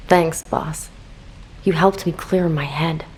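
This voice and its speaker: An earnest young woman soldier in a video game, prone to self-blame. She speaks in a low, solemn, respectful voice over slight noise. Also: steady tone, slow pace, darkish tone, poor audio. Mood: grateful, calm.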